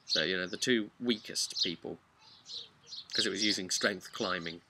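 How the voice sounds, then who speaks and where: A man's voice: low voice, normal speed. A man working as a game master, casually recapping a tabletop role-play session in a rambling, conversational way. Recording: clearly noisy, birdsong behind.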